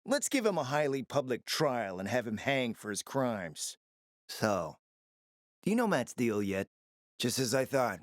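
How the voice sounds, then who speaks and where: Scheming, animated post-apocalyptic schemer, dry recording. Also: male voice, mid-range voice, measured pace, no echo. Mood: calculating, persuasive. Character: scheming, foul-mouthed post-apocalyptic city operator, confident and calculating. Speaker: video game voice actor. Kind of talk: dramatic video game dialogue.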